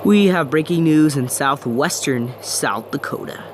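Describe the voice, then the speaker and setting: A man playing a local TV news anchor, giving a halting, unsure breaking news report. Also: fairly high pitch, a little hiss or hum, close to an everyday voice. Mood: hesitant, earnest.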